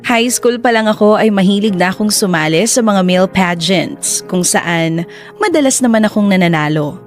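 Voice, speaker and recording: A man's voice: high voice, normal speed, lightly acted. Man acting as Benji, reflective young narrator over music. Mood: reflective, confessional. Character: Benji, reflective 28-year-old man narrating his love story. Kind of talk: Tagalog radio drama narration.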